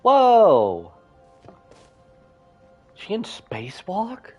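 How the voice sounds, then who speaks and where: A man's voice: high voice, wildly swooping pitch, very slow, breathy edge, lo-fi sound, faint music behind. A man giving casual live gameplay commentary as a streamer, thinking aloud and reacting with exclamations.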